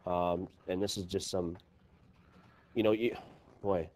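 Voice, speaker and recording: A man with a low-pitched, relaxed voice anchors live local news. He talks off the cuff, halting as he looks for the right words. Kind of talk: live unscripted flood coverage commentary.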